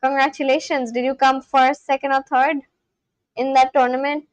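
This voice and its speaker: A woman's voice: high-pitched, flat delivery, normal speed, dry recording, lo-fi sound. A woman livestreamer chatting casually and practising language phrases aloud, in a chatty way. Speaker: livestream host learning Spanish.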